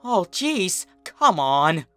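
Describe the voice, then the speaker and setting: A man's voice: sits high, very animated, drawn-out words, bright, forceful tone, soft background music, pitched above the performer's own voice, exaggerated voice. A man voicing a folksy mother cow, wry, proud and reasonable, in a storybook reading. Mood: exasperated.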